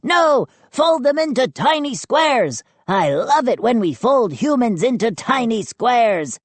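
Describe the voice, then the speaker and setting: A woman's voice: on the high side, slow pace, no room sound, pitched above the performer's own voice, exaggerated voice. A woman voicing a small, mischievous male gnome, gleeful and taunting, in a storybook reading. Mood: excited. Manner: chanting, excitable, taunting.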